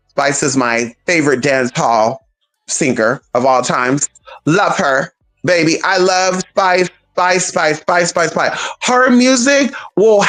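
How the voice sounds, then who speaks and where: A woman chatting casually on a livestream, sassy and profane, calling her viewers honey and girl. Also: low-pitched. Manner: animated, talks straight to viewers.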